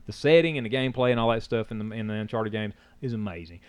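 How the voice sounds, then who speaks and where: A man's voice: on the low side, fast, smooth, dry recording. A man, co-hosting a podcast in casual chat and rambling with frequent restarts. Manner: rambling, talks over others, restarts often. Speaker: podcast co-host.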